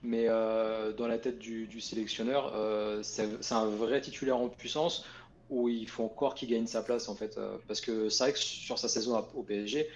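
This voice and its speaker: A man's voice: lowish voice, level tone, moderate pace, highs cut off, a little hiss or hum. A man on a casual football panel asking questions hesitantly, with many restarts and a chuckle.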